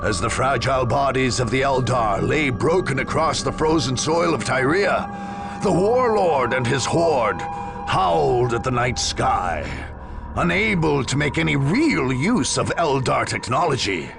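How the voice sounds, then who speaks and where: A man narrating a war game as a grave, grandiose chronicler in a solemn, theatrical, measured way. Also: medium pitch, lively intonation, gravelly, music in the background. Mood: grim relish. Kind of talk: dramatic scripted game narration.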